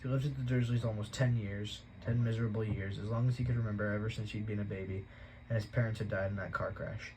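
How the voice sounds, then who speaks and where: A man reading a novel aloud at a steady pace, with an occasional stumble he corrects. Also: fairly low pitch, quick pace, slight background noise, rough recording. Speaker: audiobook reader.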